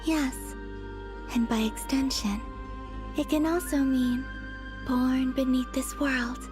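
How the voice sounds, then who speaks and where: High, soft, unhurried moon maiden. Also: female voice. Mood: serene. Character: gentle, serene young moon maiden, softly earnest. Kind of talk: anime game voice acting. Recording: music behind the voice.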